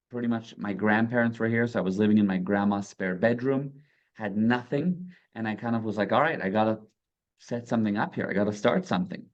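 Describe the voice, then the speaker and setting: A man's voice: on the low side, darkish tone, muffled recording. A man in his thirties with a mixed Israeli-American accent, a native Hebrew speaker, talking earnestly as a guest in a casual interview. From South Africa. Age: 30s. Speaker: guest entrepreneur.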